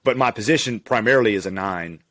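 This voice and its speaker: A man from Louisiana, maybe in his thirties, a physical therapist telling stories in a relaxed, candid podcast interview and laughing easily. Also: on the low side, fast talker, no room sound, clean studio sound. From Louisiana, USA. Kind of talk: casual conversational interview.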